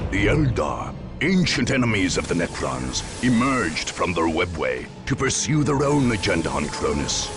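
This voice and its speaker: A man narrating a video game as a grave, portentous chronicler of war, in a deliberate storytelling style. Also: sits low, exaggerated voice. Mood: grave, ominous. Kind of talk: dramatic scripted game narration.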